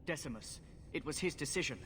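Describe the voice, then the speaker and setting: Timid young mage, higher-pitched voice. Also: male voice, lively intonation, a little fast, a bit bright, in a large hall, slight background noise. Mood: frightened, shaken. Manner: timid, pleading. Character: frightened earnest young runaway mage boy. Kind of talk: dramatic video game acting.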